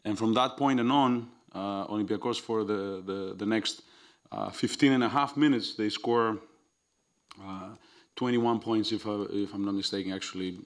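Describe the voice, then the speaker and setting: Coach in his 40s at the post-game presser, lowish voice, careful and exact with stats, table mic. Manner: measured, precise, recalls numbers aloud. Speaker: head coach. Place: press room at a basketball arena. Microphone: table mic on a stand.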